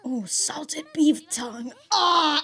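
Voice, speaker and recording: A woman's voice: sits high, lively intonation, slow pace, sharp tone, faint music behind. A woman giving casual reaction commentary, slangy and exclamatory, swearing freely and often breaking into giggles. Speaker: reaction video host.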